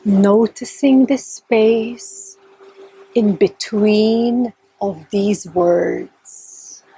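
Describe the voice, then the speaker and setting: A woman leading a guided meditation in a calm, gentle, soft and breathy voice, with long unhurried pauses between short phrases. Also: mid-range voice, rough recording. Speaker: meditation teacher. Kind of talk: guided meditation, slow and instructional.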